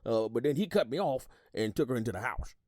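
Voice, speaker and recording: Folksy retired sergeant, older man, played by an actor, gossipy courtroom witness, animated pitch, dry close recording. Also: fast talker, dry recording, close to an everyday voice. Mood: obliging, chatty. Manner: folksy, rambling, repeats words, hums agreement. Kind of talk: audio drama acting, courtroom testimony.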